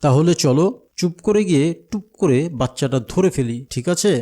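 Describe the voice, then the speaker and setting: A man's voice: fairly high pitch, expressive, a little fast, dark tone, natural voice. A man voicing a friendly, patient older companion to a child in a cartoon, with a Bangladeshi accent. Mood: persuading. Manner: patient, coaxing, explanatory. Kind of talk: cartoon dialogue.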